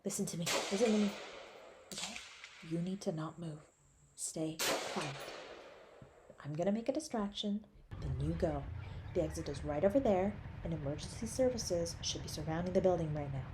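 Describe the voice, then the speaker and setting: A woman's voice: on the low side, animated, normal speed, background noise, voice pushed down, close to an everyday voice. A woman playing a selfless, protective superhero in an intimate audio roleplay, speaking in an earnest, urgent way. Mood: hushed reassurance. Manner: hushed, earnest, reassuring.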